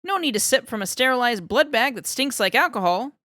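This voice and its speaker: A woman's voice: a little high, lively intonation, brisk, fairly bright tone, dry recording, clean studio sound, voice pushed up. A woman voicing a nervous male lab scientist who rambles and over-explains, eager to please. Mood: eager babbling.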